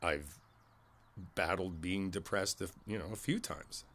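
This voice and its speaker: A man playing a kindly older neighbor in an ASMR roleplay, reflective and candid, talking in a halting, conversational way and repeating his phrases. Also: low voice, a bit bright, a little hiss or hum. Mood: somber, candid.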